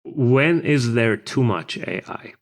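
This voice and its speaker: A man hosting a podcast interview, asking his questions briskly and in a friendly way. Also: mid-range voice, animated. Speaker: podcast co-host.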